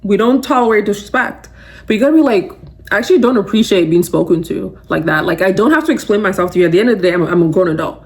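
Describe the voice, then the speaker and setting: A woman's voice: mid-range voice, fast talker. A young adult woman hosting a podcast, giving a casual, emphatic motivational talk full of fillers. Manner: animated, emphatic, conversational with many fillers. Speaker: podcast host.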